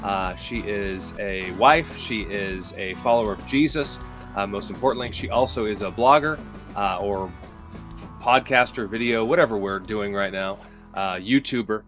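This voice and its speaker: A man hosting a casual interview over a video call, relaxed and full of little fillers. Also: fairly low pitch, moderate pace, soft background music. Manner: relaxed, filler-heavy, easygoing. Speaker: host. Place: home room. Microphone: video call, webcam.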